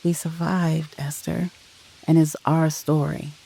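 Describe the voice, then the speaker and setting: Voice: mid-range voice, flat delivery, slow pace, vocal fry, poor audio. Narrator acting out a tender, earnest middle-aged husband. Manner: soft, tender, reassuring.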